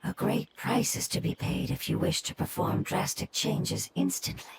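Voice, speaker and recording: A woman voicing an ancient, gentle fungal creature that speaks in a soft, measured, near-whispered way in a video game. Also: fairly high pitch, very noisy. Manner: measured, solemn, explains slowly. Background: game music.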